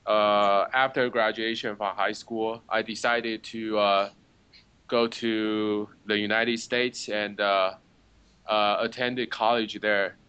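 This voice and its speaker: Bright, crisp man. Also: on the low side, flat delivery, dry recording, dull audio. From Taiwan. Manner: halting, searching for words, self-mocking humor. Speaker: startup founder. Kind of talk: casual interview answers.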